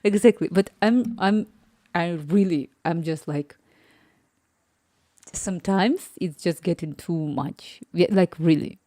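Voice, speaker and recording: A woman's voice: fairly low pitch, takes it slowly, warm tone, dry recording, clean studio sound. A woman in her thirties chatting casually on a live stream, rambling and laughing often. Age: 30s.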